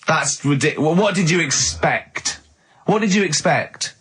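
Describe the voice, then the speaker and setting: Guy on a radio comedy show, husky, really animated, exasperated and butting in. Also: on the high side, measured pace. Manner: exasperated, badgering, interrupting. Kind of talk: comic radio banter.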